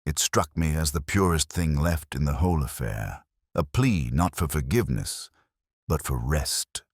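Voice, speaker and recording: A man playing a weary, ageing English detective, narrating a literary drama in a measured, solemn, reflective way. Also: a bass voice, expressive, breathy edge, no room sound, studio quality, full character voice. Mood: somber, reflective. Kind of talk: first-person literary audio drama narration.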